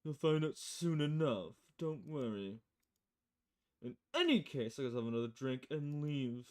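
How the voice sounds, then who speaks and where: A woman plays a male private eye from a noir visual novel. The voice is dark and mellow, with a grumbling, impatient manner as he fishes for information. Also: low-pitched, big pitch swings, a little slow. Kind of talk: visual novel character voice, film noir dialogue.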